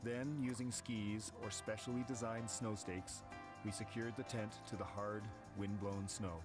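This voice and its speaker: A man reading measured, steady scripted narration for an expedition documentary. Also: fairly low pitch. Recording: voice-over with background music.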